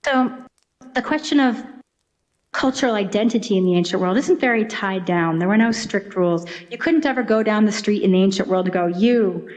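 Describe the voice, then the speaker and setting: A woman's voice: medium pitch, fairly quick, a little mellow. A woman speaking as an expert guest, explaining things conversationally and acting out little imagined quotes as she goes. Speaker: Egyptologist, interview guest. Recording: dull, lo-fi audio.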